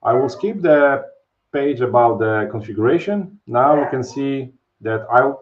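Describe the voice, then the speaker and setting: A man's voice: mid-range voice, lively intonation, a little slow, slight background noise. A man in his thirties with a Polish accent, presenting a live technical webinar from home over a webcam, explaining casually with many fillers and tag questions. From Poland. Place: home office, indoors. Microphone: webcam video call. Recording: dull, lo-fi stream audio.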